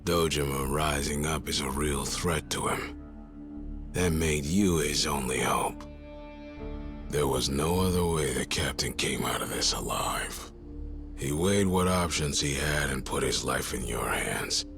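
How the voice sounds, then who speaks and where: Gangster rival, extremely deep voice, slow, husky half-whisper, music underneath. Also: male voice. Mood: mocking. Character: gravelly gangster rival, cocky and taunting. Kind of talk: video game cutscene dub. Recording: game audio with music behind.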